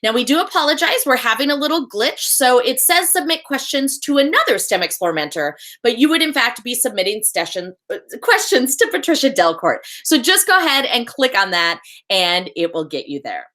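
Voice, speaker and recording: A woman's voice: on the high side, very animated, fairly quick, few pauses, sharp tone. A woman hosting a live online session, upbeat and encouraging. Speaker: host.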